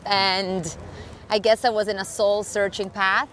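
A woman's voice: mid-range voice, flat delivery, unhurried, a bit bright, slight background noise. A woman from Italy with an Italian accent, speaking as a podcast guest in casual, enthusiastic conversation while walking outdoors. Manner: enthusiastic, earnest. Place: outdoors in a large city park.

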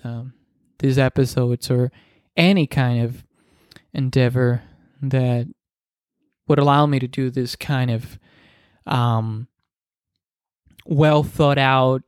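A man in his twenties whose native language is Spanish talks alone into the mic for his podcast. He speaks slowly, stopping and restarting often, in an earnest, reflective way. His voice is smooth and resonant, and the recording is dry and close. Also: medium pitch, no room sound. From Dominican Republic. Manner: halting, many restarts and fillers. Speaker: podcast host. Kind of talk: solo podcast monologue.

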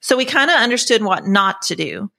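A woman in her sixties telling personal stories conversationally as a podcast guest, halting and reflective with trailing pauses. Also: on the high side, sing-song, vocal fry, sharp tone. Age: 60s.

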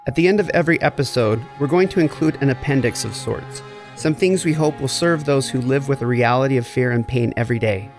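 Male actor as encouraging therapist, mid-pitched, earnest, scripted podcast delivery, soft music behind. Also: brisk, close to an everyday voice. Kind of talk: audio drama acting and scripted appeal to listeners.

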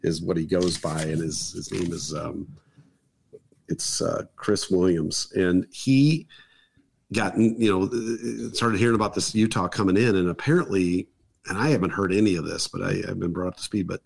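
A middle-aged man with a hoarse voice, a guest chatting casually and rambling with many fillers and restarts. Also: deep voice, normal speed. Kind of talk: casual chat. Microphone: new microphone on a table.

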